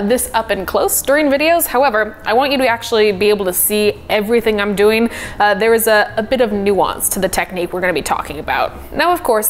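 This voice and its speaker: A young woman in her twenties hosting a coffee tutorial in her home kitchen, chatty and upbeat, laughing often. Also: medium pitch. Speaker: coffee video host. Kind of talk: instructional kitchen vlog.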